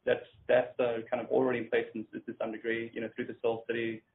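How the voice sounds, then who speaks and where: Flat, halting man on a phone-like line. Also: mid-range voice. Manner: halting, measured. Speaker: company executive. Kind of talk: unscripted answer on an earnings call. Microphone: conference call line. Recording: narrow phone-like line, faint noise.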